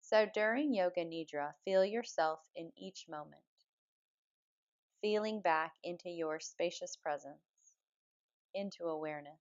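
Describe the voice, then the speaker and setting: Low-voiced woman guiding meditation, muffled sound. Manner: calm, measured, soothing delivery. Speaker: yoga nidra meditation guide. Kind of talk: guided meditation, slow spoken relaxation instruction.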